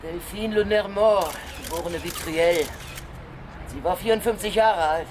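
An actor playing a dry, learned police inspector, reporting methodically in clipped phrases in a radio crime drama. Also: male voice, high voice, measured pace, noisy background. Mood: brisk, factual. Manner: matter-of-fact, clipped reporting.

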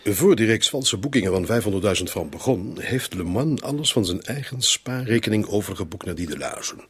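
Bank manager, radio drama. Defensive and businesslike, lowish pitch, strongly husky voice. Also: male voice, expressive, brisk. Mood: businesslike, exasperated. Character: worried, officious male bank manager. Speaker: actor in a radio play. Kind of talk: radio drama acting, explanatory dialogue.

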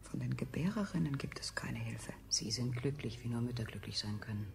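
A woman's voice: extremely low pitch, lively intonation, a little fast, creaky, a little hiss or hum, heavily acted. An actress playing a bitter enslaved woman who plots against her masters, in a declamatory, conspiratorial 1970s radio play style. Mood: defiant. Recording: 1970s studio radio recording.

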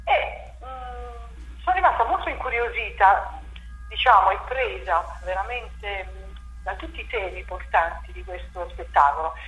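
A woman's voice: medium pitch, animated, measured pace, a bit bright. A woman playwright and theatre director explaining her stage work in an interview, thinking aloud with frequent fillers and restarts. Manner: reflective, many fillers and restarts. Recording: echoey room with faint noise.

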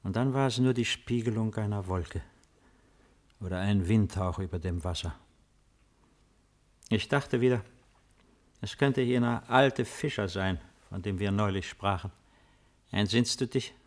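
An actor as an aging male ruler of Rome, weary and melancholy. He speaks in a low register at a very slow, measured pace. The delivery is solemn and declamatory, commanding yet resigned, in classic fifties radio play manner. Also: natural voice. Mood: brooding. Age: older. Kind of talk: 1950s German radio drama acting.

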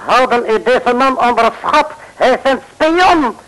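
Slow, high-pitched scheming foreign villain. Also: male voice, lively intonation, a bit bright, full character voice, rough recording. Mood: commanding, scheming. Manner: broken grammar with bare infinitives, taunting. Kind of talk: 1950s radio serial acting. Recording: old 1950s radio recording.

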